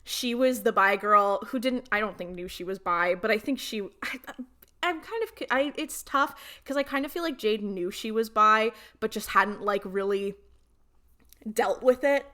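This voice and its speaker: A young adult woman, a podcast host chatting casually and comedically in a panel, telling stories in an animated way with acted-out asides. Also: medium pitch, moderate pace.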